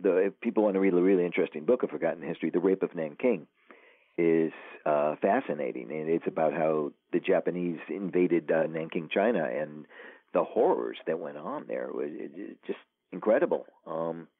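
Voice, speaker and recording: Male author guest, very deep voice, halting and grave, phone-quality audio. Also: animated, no echo. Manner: halting, many restarts, somber. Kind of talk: casual interview talk. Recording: tinny phone line.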